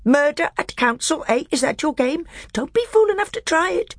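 A woman's voice: high voice, very animated, normal speed, no echo, studio quality, pitched above the performer's own voice. A woman reading aloud, voicing a scheming, bitter male dwarf who speaks coldly and snaps. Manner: cold, snapping, rising to a scream.

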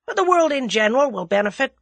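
Woman as brash young American man, slangy drawl, blunt, menacing, animated pitch, storybook tough guy, dry recording. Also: normal speed, dry recording, exaggerated voice. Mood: cheerful menace.

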